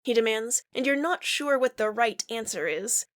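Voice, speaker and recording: Woman narrating a fanfic, highish voice, super dry close recording. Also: expressive, moderate pace, fairly bright tone, no room sound. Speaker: podfic voice actor. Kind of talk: reading fan fiction aloud with acted dialogue.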